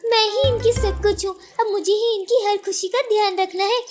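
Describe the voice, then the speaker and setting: Very high-pitched, earnest mother bird. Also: steady tone, normal speed, music in the background. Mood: earnest, tender. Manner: earnest, emotional, thinking aloud. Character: hard-working, sorrowful mother bird, tender and self-blaming. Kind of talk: Hindi children's cartoon voice acting.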